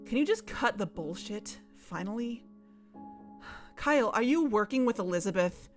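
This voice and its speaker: Casting: actress as wary, confrontational young woman for audio drama, natural delivery, mid pitch, light music underneath. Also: lively intonation, measured pace, close to an everyday voice. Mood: exasperated, accusing. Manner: earnest, confrontational.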